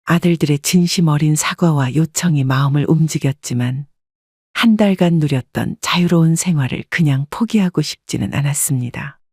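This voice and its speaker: A woman narrating a scripted first-person story in a calm, reflective way. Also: low voice, normal speed, husky, no echo, studio quality.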